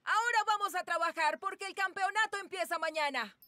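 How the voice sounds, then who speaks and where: A woman voicing a brisk, encouraging female sports coach in a cartoon. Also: very high-pitched, pushed and bright, soft background music. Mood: decisive. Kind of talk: cartoon dubbing.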